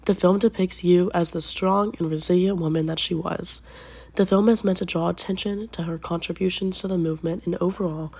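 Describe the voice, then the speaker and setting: Low, mellow-voiced young woman presenter. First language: English. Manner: careful, slowed delivery on names. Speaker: student presenter. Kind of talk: scripted film review narration.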